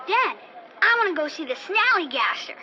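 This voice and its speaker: Very high, excitable young boy. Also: normal speed, some chatter behind, exaggerated voice. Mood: pleading. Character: pleading, gullible young son, excitable. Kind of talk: old-time radio drama acting. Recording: dull radio sound, faint noise.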